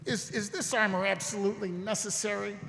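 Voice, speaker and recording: Man playing earnest military aide, high-pitched, polite, audio drama, reverberant hall. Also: fairly even intonation, a little fast, vocal fry. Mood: uneasy, polite. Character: earnest army captain, polite aide and narrator. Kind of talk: live audio drama acting and narration. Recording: echoey hall, music behind.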